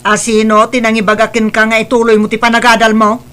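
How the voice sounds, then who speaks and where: A woman's voice: fairly high pitch, monotone, fast, a bit bright, natural voice. A woman voicing a harsh, strict middle-aged mother who speaks in a scolding, dismissive way.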